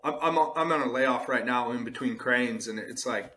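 A man's voice: medium pitch, normal speed, bright, forceful tone. A male podcast host with an ordinary mid-range voice. He talks in a casual, slangy way, earnest and motivational, and leans on key phrases by repeating them. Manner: earnest, emphatic, repeats points, slangy with "bro" and "dude".

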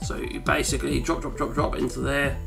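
A man's voice: medium pitch, flat delivery, a little fast, vocal fry, over music. A wheezy man in his forties, rambling through a casual how-to demonstration in his home study. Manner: rambling, chatty, self-correcting. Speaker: home video maker. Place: cluttered home study, indoors.

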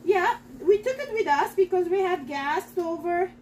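Middle-aged woman, very high voice, excitable home-kitchen demo host, slightly off-mic. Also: unhurried, recorded from a distance, slight background noise, rough recording. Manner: chatty and excitable, talks straight to viewers. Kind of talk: live cooking demonstration. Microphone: camera across the counter.

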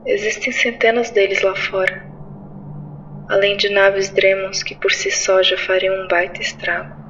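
A woman playing a weary, somber soldier in an audio drama, speaking gravely and haltingly. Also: mid-range voice, level tone, a bit bright, highs cut off, slight background noise. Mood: despairing. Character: weary soldier Drax, somber, losing hope under siege. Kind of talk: audio drama narration and dialogue.

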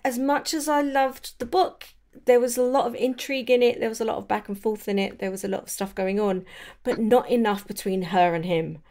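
A woman's voice: fairly high pitch, a little fast, a little mellow. Enthusiastic woman chatting about books. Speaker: podcast host. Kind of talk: casual book chat.

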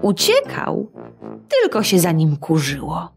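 Slow expressive woman reading a children's tale. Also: lowish voice, gravelly, soft background music. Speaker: storyteller. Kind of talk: children's audiobook narration.

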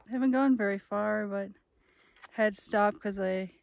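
A woman's voice: mid-range voice, normal speed, creaky, a little mellow, dry recording. A middle-aged woman from Minnesota vlogging casually to a phone held at arm's length, chatty and self-amused, rambling as she goes. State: out of breath at altitude. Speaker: travel vlogger. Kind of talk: casual vlog to camera. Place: in a car, then outdoors on snowy ski trails.

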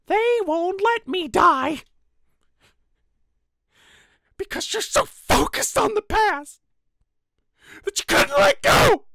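Small forgotten flower creature, voiced by a woman: high voice, bitter, wounded, hesitant, roleplay drama, dry close recording. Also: gravelly, fairly bright tone, no room sound, full character voice. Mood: anguished.

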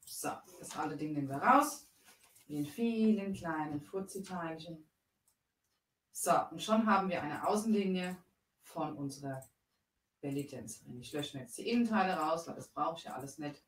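Woman host, 30s, chatty tutorial delivery, slightly low voice, caught from a distance. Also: animated, normal speed. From Bavaria. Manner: chatty, explains steps while working. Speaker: crafting livestream host. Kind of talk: live software tutorial. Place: home craft room, indoors. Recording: roomy echo, voice a bit far off.

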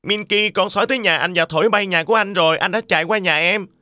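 Eldest little pig brother: high-pitched, sharp, anxious and stubborn, for a fairy tale cartoon. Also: male voice, quick pace, dry recording, studio quality.

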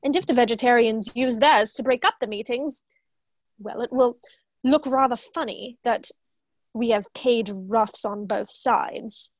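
A young woman playing a prim, patient, dutiful secretary with formal, measured delivery in a play reading. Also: on the high side, dry recording, poor audio. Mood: patiently cautioning. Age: young adult. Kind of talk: staged play reading over video call. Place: room at home, video call. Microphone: wired earphone microphone.

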